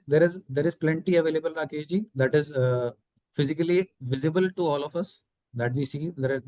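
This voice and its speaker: Male company executive, Indian accent, quick pace and dry close sound, methodically explaining business figures to investors. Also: on the high side, a little mellow, no room sound. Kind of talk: explanatory answers on an earnings call.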